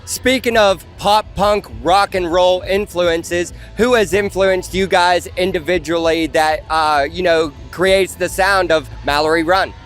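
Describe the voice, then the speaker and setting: Upbeat guy interviewing a band at a festival, kinda high voice, music faint behind. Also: fairly even intonation, measured pace, hardly any pauses, fairly bright tone. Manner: upbeat, hyped. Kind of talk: casual on-site interview.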